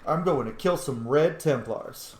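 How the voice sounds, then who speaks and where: A man's voice: fairly high pitch, unhurried. Echoey man casually commentating a video game. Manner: playful, sweary, talks to game characters. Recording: roomy echo with background noise.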